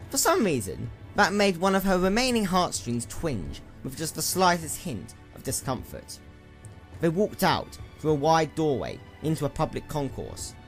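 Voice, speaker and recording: A man reading scripted fiction as a dramatic story narrator. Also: mid-range voice. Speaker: audiobook narrator.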